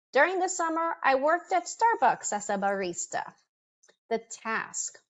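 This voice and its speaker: Quick-talking woman, Spanish her first language. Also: a little high. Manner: quick, conversational, coaching tone with frequent ums. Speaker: career presenter. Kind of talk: online webinar presentation.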